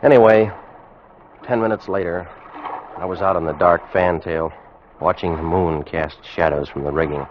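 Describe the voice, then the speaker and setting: A man's voice: deep voice, steady tone, moderate pace, a little hiss or hum, close to an everyday voice. An actor playing a tense, watchful ship's officer who narrates his tale in a 1940s radio drama. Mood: suspenseful narration. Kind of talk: 1940s radio acting, first-person narration. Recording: dull vintage radio recording with music.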